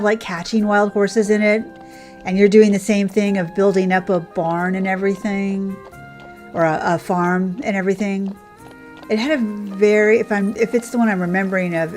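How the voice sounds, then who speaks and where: A woman's voice: medium pitch, a little mellow. A woman streamer chatting casually as she plays, rambling and thinking aloud in a conversational way. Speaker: game streamer, host. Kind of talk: casual livestream chat while playing. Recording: music playing behind the voice. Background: background game music.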